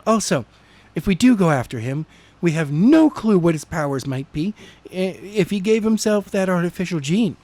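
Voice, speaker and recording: A man's voice: high voice, animated, moderate pace, warm tone, no room sound, pitched above the performer's own voice. A man voicing a vengeful, impatient young woman who argues indignantly, in an amateur audiobook reading. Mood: indignant, insistent.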